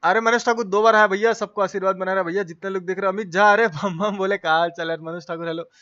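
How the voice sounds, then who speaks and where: A man's voice: sits high, steady tone, quick pace, bright, forceful tone, highs cut off. A man hosting a live game stream, chatting casually and slangily as he greets viewers by name.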